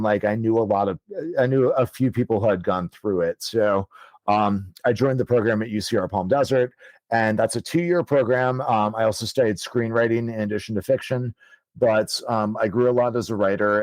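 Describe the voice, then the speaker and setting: A man's voice: lowish voice, no room sound, rough recording. Rambling man in his thirties. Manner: rambling, many ums and likes, swears casually. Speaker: author guest. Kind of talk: casual storytelling interview. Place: podcast studio.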